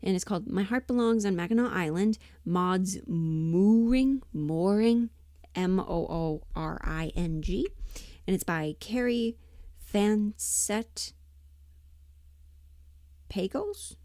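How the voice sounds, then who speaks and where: A woman's voice: mid-range voice, expressive, slow pace, warm tone, no echo, clean studio sound. A woman chatting casually on a livestream, informal and rambling, muttering asides to herself as she thinks aloud. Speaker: livestream host and amateur voice performer.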